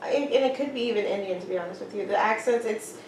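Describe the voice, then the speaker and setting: A woman's voice: medium pitch, quick pace, a little mellow. A woman guest on a casual podcast, easygoing and rambling, laughing often. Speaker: podcast guest, police dispatcher. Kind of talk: casual interview chat. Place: home. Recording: roomy, distant mic with faint noise.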